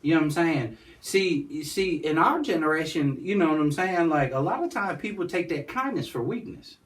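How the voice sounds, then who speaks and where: A man's voice: lively intonation, normal speed. A man, talking as a guest in a casual interview and rambling with constant "you know what I'm saying.". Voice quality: high-pitched voice. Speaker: guest, nonprofit founder. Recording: distant mic.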